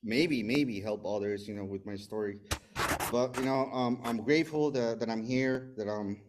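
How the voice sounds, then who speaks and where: A man's voice: mid-range voice, moderate pace, background noise. A man in his forties from El Salvador with a Salvadoran Spanish accent and Spanish as his first language, sharing his recovery story at a meeting, nervous and hesitant, with many fillers and restarts. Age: 40s. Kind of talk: personal recovery testimony.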